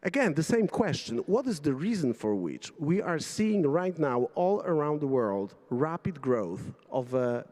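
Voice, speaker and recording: A man whose first language is Polish, moderating a conference panel and halting as he searches for his words. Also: medium pitch, darkish tone. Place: large conference hall stage. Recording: reverberant hall.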